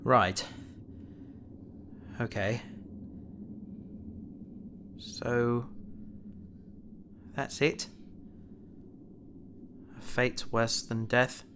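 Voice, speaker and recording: A man's voice: medium pitch, fairly even intonation, drawn-out words, long pauses, a bit bright, a little hiss or hum. A man playing an earnest, stoic space hero who narrates his own science fiction audio drama. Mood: subdued, resigned. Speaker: protagonist and narrator.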